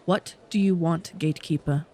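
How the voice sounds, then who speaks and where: A man's voice: a little high, fairly even intonation, normal speed, a little mellow, slight background noise, natural voice. A man voicing a proud, defiant elf woman in an audio drama, with a cold, clipped delivery. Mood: guarded, wry. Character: proud, defiant female elf outcast, centuries old, cold.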